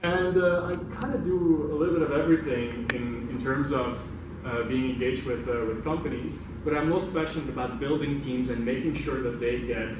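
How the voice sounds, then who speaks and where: A man's voice: mid-range voice, normal speed. Echoey, distant man presenting to a room. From Belgium. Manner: conversational, engages the audience with questions. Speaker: workshop facilitator, speaker. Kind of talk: informal talk to a meetup audience. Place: indoor meetup room. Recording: very echoey, noisy room, far from microphone.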